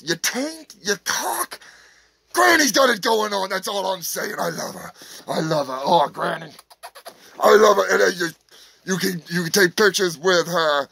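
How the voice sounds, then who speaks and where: A man's voice: sits high, vocal fry, bright, forceful tone, husky, dry recording, full character voice. A man playing a manic, meth-smoking roadside zoo owner who rants profanely and boasts like a showman. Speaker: comedian in character. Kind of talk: crude sketch comedy monologue.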